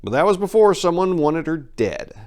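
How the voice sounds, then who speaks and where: A man's voice: a little high, big pitch swings, moderate pace. A man giving a theatrical, sardonic dramatic reading of a gritty crime story as its narrator.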